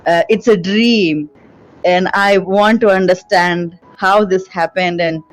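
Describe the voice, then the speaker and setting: A woman hosting an online interview, admiring and quick to laugh, speaking into a headset mic from a small room. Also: fairly low pitch, fairly even intonation, dry recording, soft background music. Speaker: interview host. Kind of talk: casual video-call interview. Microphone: headset mic on a video call.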